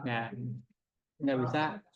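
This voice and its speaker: A man's voice: medium pitch, steady tone, slow. A man teaching Arabic in a classroom, chatty and repetitive, quizzing his students as he goes. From Indonesia. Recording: echoey room, dull lo-fi sound.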